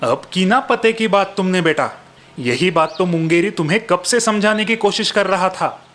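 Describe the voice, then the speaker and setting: A man's voice: sits high, expressive, moderate pace, fairly bright tone, faint music behind, voice pushed up. A man voicing a sly, cheerful village uncle in a cartoon story, with a teasing, coaxing manner.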